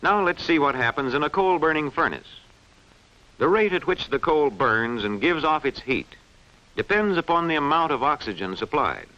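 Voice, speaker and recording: Bright-voiced man narrating an old educational film. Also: fairly high pitch, expressive, normal speed. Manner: clearly enunciated, didactic delivery. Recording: dull, band-limited vintage film soundtrack.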